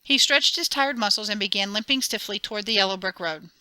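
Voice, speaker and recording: Expressive storybook narrator, a woman with a sharp, bright voice. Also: mid-range voice, a little fast, smooth, no echo. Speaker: audiobook narrator. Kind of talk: dramatic storybook reading.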